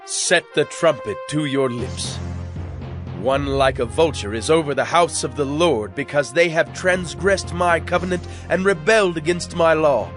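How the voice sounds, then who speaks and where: A man playing a wronged, wrathful God pronouncing judgment, in a solemn, declamatory dramatic scripture reading with deliberate phrasing. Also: fairly high pitch, natural voice. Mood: stern judgment. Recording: music bed under the voice. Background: background music.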